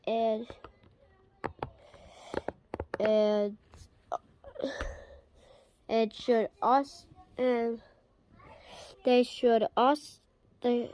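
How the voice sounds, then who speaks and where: Emergency alert announcer played by an amateur woman, slightly high voice, slow and faltering, grave doomsday warnings. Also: little pitch movement, a little mellow, clean tone. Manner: halting, stumbling over the script. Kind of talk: amateur scripted emergency broadcast parody.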